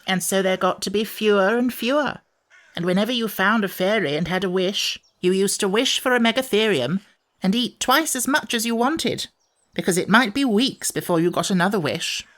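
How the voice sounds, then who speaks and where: A woman's voice: medium pitch, expressive, moderate pace, a bit bright, natural voice. A woman voicing a grumpy, ancient and fussy sand fairy creature for a children's audiobook, in a gloomy, peevish storyteller's way.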